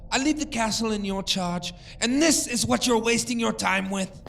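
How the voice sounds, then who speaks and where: A man's voice: high-pitched, normal speed, creaky, a bit bright, slight background noise. An actor playing a harsh, domineering father in an audio drama, contemptuous and accusing. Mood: scornful anger. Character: harsh, domineering grown father, scornful and unforgiving.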